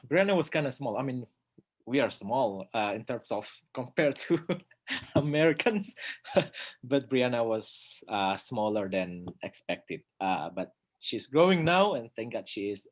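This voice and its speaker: A man in his thirties from North Sumatra with an Indonesian accent, giving a relaxed slide presentation as a pastor, pausing often on "uh" and laughing softly. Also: fairly low pitch. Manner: hesitant, many "uh" fillers, soft laughs. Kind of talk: informal lecture with slides.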